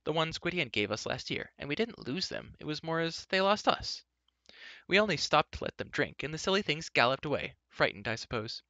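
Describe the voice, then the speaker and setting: A man's voice: a little high, sing-song, a little fast, sharp tone, no room sound, dull audio, pitched above the performer's own voice, full character voice. A man voicing a headstrong young princess in a story, chattering on in a breezy, insistent, argumentative way. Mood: breezy, defensive.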